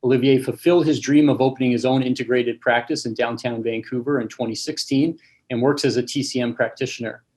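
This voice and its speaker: A young adult man hosting a webinar over a video call, reading a formal speaker introduction and giving relaxed housekeeping notes. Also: medium pitch, fairly quick, rough recording. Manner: reads a formal introduction, then casual housekeeping with fillers. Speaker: webinar host, campus manager.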